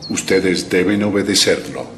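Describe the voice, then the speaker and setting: A man's voice: low-pitched, moderate pace, noisy background, natural voice. A man playing a solemn divine father giving commands in a dramatized audio Bible. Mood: majestic.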